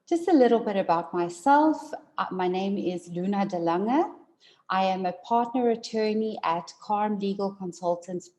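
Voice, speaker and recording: A woman from South Africa, an attorney giving a formal conference presentation in a measured way, with frequent fillers and repeated words. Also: mid-range voice, warm tone.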